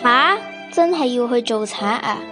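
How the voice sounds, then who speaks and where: A woman's voice: on the high side, expressive, a little slow, over music. A woman playing a naive, hapless petty thief in a stage play, guileless and complaining. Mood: hesitant.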